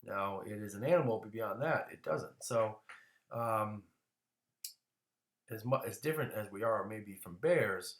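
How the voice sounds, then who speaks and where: A man's voice: on the low side, animated, measured pace, pauses often, reverberant. A man teaching a classroom lecture in a casual way, often restarting words and coughing now and then.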